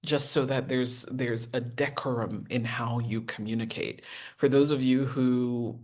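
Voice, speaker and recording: A woman, teaching a professional etiquette masterclass in an earnest, encouraging way with deliberate enunciation. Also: steady tone, darkish tone. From United States. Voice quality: deep voice. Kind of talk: masterclass lecture.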